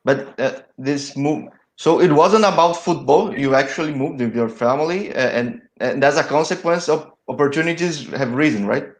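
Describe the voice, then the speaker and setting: A man's voice: fairly high pitch, normal speed, few pauses, roomy sound. A Brazilian man whose first language is Portuguese, hosting an online interview in a hesitant, word-repeating way. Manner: hesitant, repeats words while searching for phrasing. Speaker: interview host.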